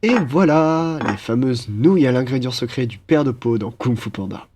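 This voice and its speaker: A man's voice: medium pitch, lively intonation, moderate pace, darkish tone, a little hiss or hum, natural voice. A man playing a playful, chatty young roommate. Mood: friendly, joking. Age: young adult. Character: cheerful, teasing young male student roommate, aspiring chef. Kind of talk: ASMR audio roleplay, casual one-sided chat.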